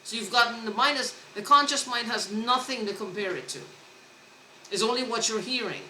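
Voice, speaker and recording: A woman talking to camera in a rambling personal monologue, halting, restarting and repeating words, earnest and insistent. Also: on the high side, bright, forceful tone, a little hiss or hum. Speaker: vlogger. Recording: echoey room recording.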